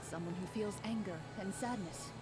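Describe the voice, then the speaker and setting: A woman voicing a composed, earnest champion duelist in an anime-style game, measured, polite and formal, over loud noise. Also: mid-range voice, close to an everyday voice. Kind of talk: English anime-style video game dub acting.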